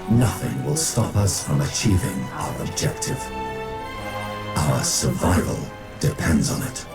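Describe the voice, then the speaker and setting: A man's voice: on the low side, big pitch swings, unhurried, gravelly, over loud music, full character voice. A man playing a commanding, resolute machine leader in a video game, with a grave and imperious delivery. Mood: triumphant, resolute. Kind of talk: dramatic scripted video game cutscene acting.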